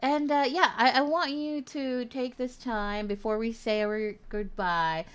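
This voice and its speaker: A woman hosting a casual radio-style stream interview, chatty and quick to laugh. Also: fairly high pitch, steady tone, clear, resonant tone. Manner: chatty, laughs often, warm check-ins.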